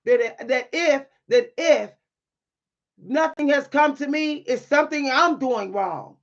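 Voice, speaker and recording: A woman with a deep, at times loud voice, speaking in a bold, sassy way with dry humor and repeating phrases for emphasis in a motivational monologue. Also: lively intonation, takes it slowly, creaky, lo-fi sound. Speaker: spiritual content creator and writer.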